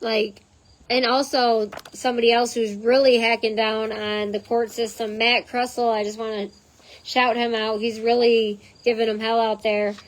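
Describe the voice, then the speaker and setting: A woman with a hoarse voice reading a text aloud and breaking off into chatty side notes, as the host of her own channel. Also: on the high side, little pitch movement, moderate pace, low-quality recording. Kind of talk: reading aloud with casual asides.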